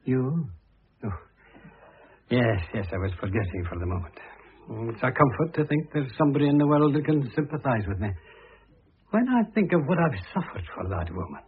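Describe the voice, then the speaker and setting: An actor playing a self-important, self-pitying middle-aged divorced husband, pompous and long-suffering, in radio drama style. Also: male voice, mid-range voice, very animated, a little fast, slight background noise, natural voice. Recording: muffled lo-fi old radio recording.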